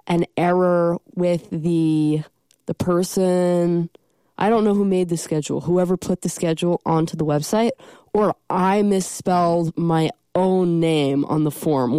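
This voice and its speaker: Young woman, college radio host, stuffy from a cold, rambling chat, smooth clear voice, dry studio sound. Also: on the low side, dark tone, no echo. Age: young adult. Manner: rambling, self-deprecating, many ums.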